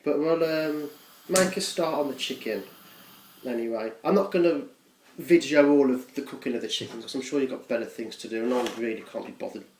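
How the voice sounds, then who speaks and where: A lower-voiced British man talks his way casually through a recipe, wandering into self-deprecating asides. He sounds like a home cook filming himself in a reverberant kitchen, with clear noise of cooking around him. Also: fairly quick, darkish tone. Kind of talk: casual, unscripted cooking demonstration. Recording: echoey kitchen with frying, clattering noise.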